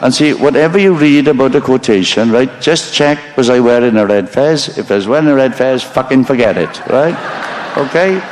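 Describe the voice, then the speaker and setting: A man's voice: medium pitch, normal speed, darkish tone, a faint crowd, low-quality recording. A man giving a rambling live stage monologue, swearing freely, mocking himself and stopping to apologize for his slip-ups. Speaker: stand-up storyteller.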